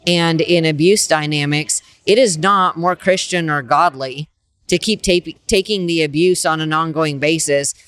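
Earnest woman with a smooth, dry voice. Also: deep voice, measured pace, fairly bright tone, no room sound. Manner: earnest, emphatic, preaching tone. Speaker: podcast guest, ministry leader. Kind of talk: faith-based interview conversation.

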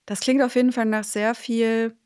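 A woman's voice: a little high, flat delivery, moderate pace, a little mellow, smooth, dry recording, clean studio sound. A woman hosting a podcast interview, asking questions in a friendly way and affirming with short yeahs.

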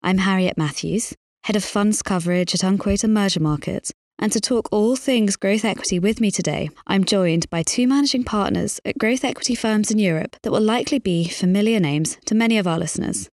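A woman's voice: medium pitch, a little fast, few pauses, a little mellow, no echo, clean studio sound. A woman hosting a podcast, delivering a scripted introduction and interview questions. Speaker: podcast host.

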